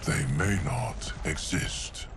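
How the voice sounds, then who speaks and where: Deep, slow-spoken, solemn guardian. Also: male voice, steady tone, a little hiss or hum, exaggerated voice. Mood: solemn, loyal. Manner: formal, measured, grave. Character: loyal, stoic male guardian, solemn and formal. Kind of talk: video game cutscene acting.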